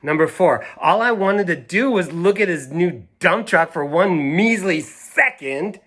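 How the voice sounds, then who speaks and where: A man reading a storybook as an indignant, stubborn little girl, speaking emphatically and deliberately. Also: sits high, expressive, fairly bright tone, pitched above the performer's own voice, heavily acted. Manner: emphatic, deliberate, self-righteous. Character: indignant, stubborn, dramatic little girl narrating her grievances.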